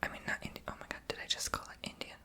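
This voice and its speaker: A woman's voice: racing, pushed and bright. A woman whispering in an ASMR video, chatty and rambling, who says she is tongue-tied and makes her r in her throat. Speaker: ASMR creator.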